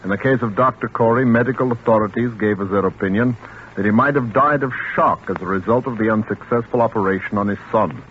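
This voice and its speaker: A man acting an impersonal newsman reading a grim report in a formal, measured, matter-of-fact way in a 1940s radio drama. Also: on the low side, close to an everyday voice. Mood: grim, detached. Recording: tinny noisy 1940s radio recording.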